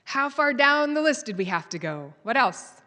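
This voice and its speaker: Lively woman speaker in a big hall. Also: a little high, normal speed. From United States. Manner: lively storyteller, quizzes the audience. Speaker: conference speaker. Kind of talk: live conference talk, audience interaction. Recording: long hall reverb.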